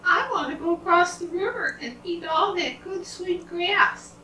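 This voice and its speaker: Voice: very high-pitched, measured pace, sharp tone, voice pushed up, heavily acted. A storyteller voicing a small, young billy goat who pleads and bargains politely in a children's fairy tale. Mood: eager. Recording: roomy, distant home recording, faint noise.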